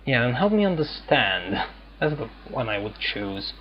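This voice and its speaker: Guy streaming a game, chatty commentary, normal pitch, bit of noise behind him. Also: dull audio. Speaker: gaming streamer. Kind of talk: casual let's-play commentary.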